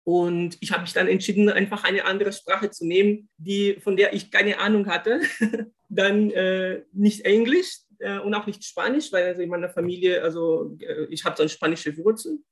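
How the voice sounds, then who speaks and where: A man with a notably high voice speaks German as an in a conversational interview. He is thoughtful and searches for his words, often restarting phrases. His carries traces of Portuguese. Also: fairly even intonation, moderate pace, fairly bright tone. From Brazil. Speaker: guest, linguist and German teacher.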